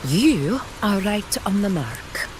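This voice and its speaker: A woman voicing a resolute female leader in a video game, grave, measured and formal. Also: mid-range voice, big pitch swings, noisy background.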